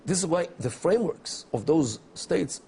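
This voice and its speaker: Middle-aged Israeli man, English, gravelly, slow and measured, faint hiss behind. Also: a little high, animated, dark tone. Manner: deliberate, with many pauses and fillers. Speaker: interview guest, academic. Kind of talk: measured explanatory interview answers.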